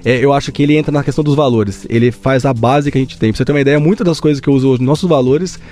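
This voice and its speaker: A man from Brazil, a business founder, answers interview questions. His voice is mellow and warm, and his speech is earnest and halting. Faint music plays beneath. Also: mid-range voice. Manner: earnest, measured, many hesitations. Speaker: company founder, guest.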